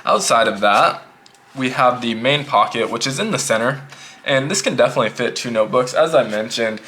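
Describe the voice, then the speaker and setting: A man casually reviewing a notebook pouch in a relaxed, rambling way, a little sick and slightly hoarse. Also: medium pitch, a little fast, a little hiss or hum. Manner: relaxed, rambling, repeats himself. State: losing his voice, a bit sick. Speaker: EDC gear reviewer.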